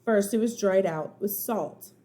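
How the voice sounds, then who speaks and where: A woman's voice: mid-range voice, a little slow, mellow, lightly acted. A woman voicing a cautious, curious, studious young boy in a storybook, earnest and insistent. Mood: absorbed. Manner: earnest, insistent, reads facts aloud.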